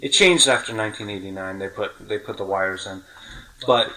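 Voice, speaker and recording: A man's voice: lowish voice, brisk, a crowd in the background, low-quality recording. A middle-aged man hosting a podcast, chatting casually and reminiscing in a talkative way, often rephrasing himself. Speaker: podcast host.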